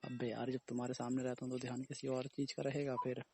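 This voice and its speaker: Man playing a gravelly, husky young boyfriend. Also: mid-range voice, little pitch movement, fast talker, mellow, soft background music. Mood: exasperated. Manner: evasive, teasing, casual. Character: teasing young boyfriend dodging blame over a pregnancy scare. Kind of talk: staged phone-call romance drama in casual chat.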